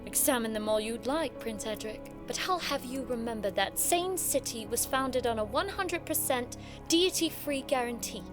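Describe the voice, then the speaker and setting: An actress plays a young highborn woman studying magic in an audio drama. Her voice is very high and her manner is proud, witty and formal. Music plays behind her. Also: expressive, moderate pace, close to an everyday voice. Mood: scornful. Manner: wry, haughty, formal speech.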